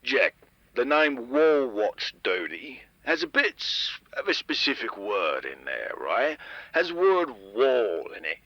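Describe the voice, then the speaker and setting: A man's voice: mid-range voice, very animated, slow pace, sharp tone, no echo, lo-fi sound, heavily acted. A man playing a stern, exasperated, dutiful security chief making announcements in a radio drama. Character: gruff, dutiful male town security chief. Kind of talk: scripted radio audio drama, public announcement.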